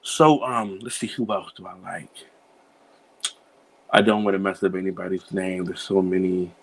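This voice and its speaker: Relaxed low-voiced man, easy laugh. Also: normal speed, poor audio. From Cincinnati, USA. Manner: relaxed, laughs easily, many fillers. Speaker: children's book author, guest. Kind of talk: casual interview answers.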